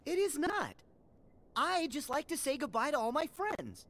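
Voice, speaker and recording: Game role: an impulsive teen boy, high, animated, breezy, clear background noise in the capture. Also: measured pace, heavily acted. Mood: defensive. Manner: breezy, boyish. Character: cheerful, boyish, impulsive teenage boy hero. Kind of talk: video game cutscene acting.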